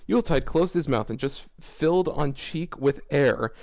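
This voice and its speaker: Lively young man reading fanfic aloud. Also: a little high, moderate pace. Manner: laughs often, animated reactions. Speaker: fanfiction reader. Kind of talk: comedic reading aloud with commentary.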